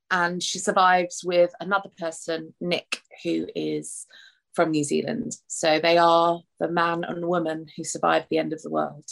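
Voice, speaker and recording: A woman with a slightly low voice chats as a guest on an author podcast. She is relaxed and quick to laugh, and fillers run through her talk. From England. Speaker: debut novelist, guest. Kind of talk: casual interview talk.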